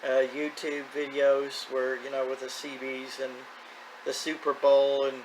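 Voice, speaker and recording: A man's voice: mid-range voice, monotone, measured pace, low-quality recording. A man in his fifties talking casually and off the cuff as a radio hobbyist, plain-spoken and folksy. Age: 50s. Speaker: radio hobbyist video host. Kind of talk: casual rambling vlog talk. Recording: clear background noise.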